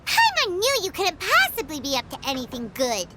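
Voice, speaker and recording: A woman voicing a tiny, excitable fairy-like companion girl who talks in a blunt, nosy way. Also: high-pitched, brisk. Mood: suspicious. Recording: noisy game audio.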